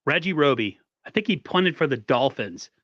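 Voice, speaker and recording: A man in his forties co-hosts a casual sports chat with a fairly average pitch. He rambles and jokes in loose slang. The audio is dry and a little dull. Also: very animated, normal speed, fairly bright tone, no echo. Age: 40s. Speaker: sports show co-host.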